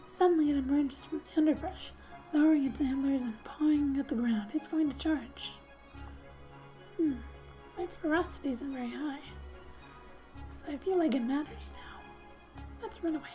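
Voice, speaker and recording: A soft-spoken woman doing ASMR gaming commentary, gently reading story text aloud and often dropping to a whisper, in an unhurried way. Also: sits high, low-quality recording. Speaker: video game streamer. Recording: quiet background music. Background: soft music.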